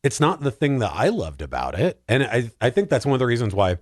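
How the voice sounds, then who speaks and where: Guy on a movie podcast, loose wry joking chat, lower-mid voice, close dry sound. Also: big pitch swings, fairly quick, a little mellow, dry recording. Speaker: podcast panelist discussing films. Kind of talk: casual comedic chat.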